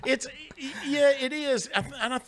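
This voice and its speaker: A man's voice: extremely high pitch, a little slow, fairly bright tone. An older man with a big bluesy singer's voice, telling career anecdotes in a chatty interview with jokey asides, recorded in a studio. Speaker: singer-songwriter guest. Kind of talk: casual interview storytelling.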